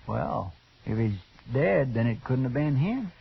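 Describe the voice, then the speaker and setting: Folksy, drawling deputy sidekick. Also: male voice, mid-range voice, very animated, a little slow, gravelly, slightly rough, full character voice. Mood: earnest, puzzled. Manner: folksy, deferential, plain-spoken. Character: folksy, loyal, eager deputy sidekick in a Western. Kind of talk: 1950s radio drama acting. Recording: dull, noisy old radio recording.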